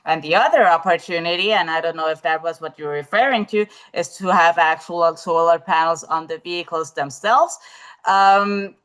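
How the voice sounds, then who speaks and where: A woman with a lowish voice answers questions in a live online webinar, picking her way through ideas with frequent pauses and false starts. She speaks over a video call. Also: fairly bright tone, clear, resonant tone, rough recording. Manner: hesitant, many fillers and restarts. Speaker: webinar presenter.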